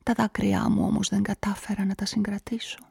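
An actress narrating a radio play in the first person as a nervous events manager, often dropping into confiding whispers. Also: female voice, mid-range voice, level tone, gravelly, warm tone. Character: nervous, talkative female events manager caught up in a murder.

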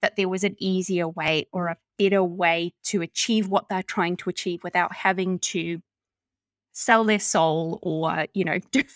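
A woman with a New Zealand accent hosting a podcast, chatting and asking questions in a relaxed, agreeable way with many fillers. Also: on the low side, a little slow, no echo, clean studio sound. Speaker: podcast host. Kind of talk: casual interview chat.

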